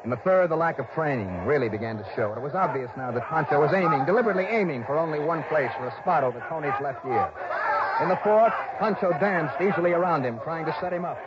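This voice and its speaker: A man's voice: fairly high pitch, lively intonation, quick pace, a loud crowd, lightly acted. An actor playing a tough, earnest investigator with an American accent, narrating his case in clipped, intense 1960s radio style. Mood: tense, building. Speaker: star and narrator. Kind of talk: dramatic first-person 1960s radio narration. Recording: noisy, muffled old radio recording.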